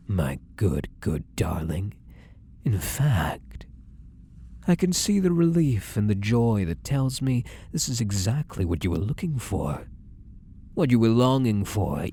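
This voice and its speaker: Man acting a possessive, low-voiced, lovestruck fake psychiatrist. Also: a little hiss or hum. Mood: possessive, reassuring. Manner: soft, slow coaxing murmur slipping into whispers. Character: possessive, obsessively loving male fake psychiatrist, tender stalker. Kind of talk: ASMR roleplay.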